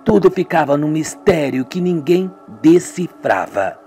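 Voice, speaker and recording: A man with a Brazilian accent and a husky voice, reading a scripted radio soap and doing all the parts himself. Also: fairly high pitch, sing-song, measured pace, mellow. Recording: music behind the voice. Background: music.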